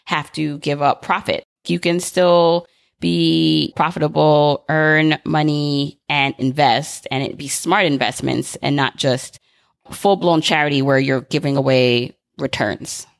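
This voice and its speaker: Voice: medium pitch, steady tone, a little slow, gravelly, dry recording, studio quality. A podcast host in casual conversation, framing long, conversational questions. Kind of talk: casual interview chat.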